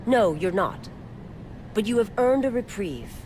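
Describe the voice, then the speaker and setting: Woman acting a proud, menacing, animated assassin. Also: medium pitch, background noise, close to an everyday voice. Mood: cool respect. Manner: formal, measured, imperious. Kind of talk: dramatic video game acting.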